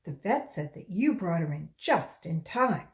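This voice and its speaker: A woman's voice: on the low side, sing-song, a little slow. A woman voicing a tender, emotional young schoolgirl in a children's storybook. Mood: relieved. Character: tender young schoolgirl who loves her dog.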